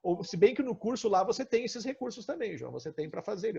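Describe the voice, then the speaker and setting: Gravelly, mellow Brazilian man coaching. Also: high voice, lively intonation, fast talker. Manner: explanatory, asking probing questions. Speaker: coach and trainer leading a live session. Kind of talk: conversational coaching on a live stream. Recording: dull lo-fi online call audio.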